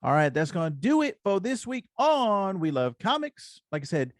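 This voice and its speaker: A man's voice: high voice, big pitch swings, moderate pace, no room sound, studio quality. A man hosting a casual comics podcast with an upbeat, encouraging way of talking. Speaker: podcast host.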